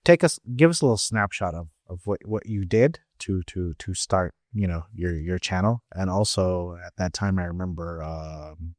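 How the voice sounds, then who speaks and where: A woman's voice: very deep voice, moderate pace, few pauses, darkish tone, no echo, studio quality. A woman hosting an interview podcast, asking long, rambling questions and correcting herself mid-sentence. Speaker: podcast host. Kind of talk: interview conversation.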